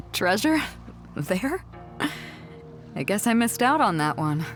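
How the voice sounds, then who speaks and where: An actress playing a confident, playful yet reflective woman sea captain in video game dialogue, with a self-assured, measured way of speaking. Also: medium pitch, sing-song. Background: music.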